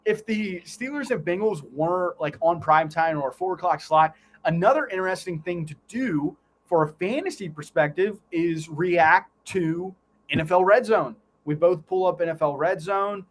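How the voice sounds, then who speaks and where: A man with a somewhat high voice hosts a live sports stream. He slips between game calls and loose, teasing chat with his co-host. There is some background noise on the recording. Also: moderate pace. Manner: banters and teases his co-host.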